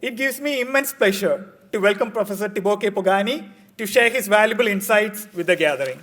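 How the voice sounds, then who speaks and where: High-pitched male emcee, formal read-out introduction. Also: a bit bright. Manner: formal and measured, read from a script. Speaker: conference emcee. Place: conference hall.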